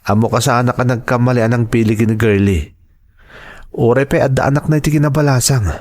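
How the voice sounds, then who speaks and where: An earnest young man in an audio drama, played by a male actor, speaks with a medium-pitched voice. He comes across as devoted and respectful, a sincere son and sweetheart. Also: fairly even intonation, quick pace, a little mellow, lightly acted. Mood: earnest, pleading. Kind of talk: dramatized audio story acting.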